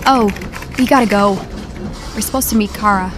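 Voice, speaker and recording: A woman playing a brisk, capable newspaper reporter in an audio drama, with a dry, matter-of-fact manner. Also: mid-range voice, soft background music, lightly acted. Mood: apologetic, brisk.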